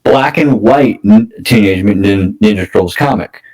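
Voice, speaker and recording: A man co-hosting a casual film discussion podcast, talking in a halting, enthusiastic fan's manner. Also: fairly low pitch, a little mellow, far from the mic, low-quality recording. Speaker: podcast co-host.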